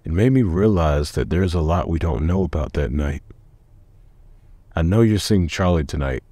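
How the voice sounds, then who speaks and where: A man acts as a middle-aged police chief in a comic drama. His voice is very low, mellow and dry, with a grave, guarded worry in its measured delivery. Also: lively intonation, dry recording. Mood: probing. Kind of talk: comic dub acting.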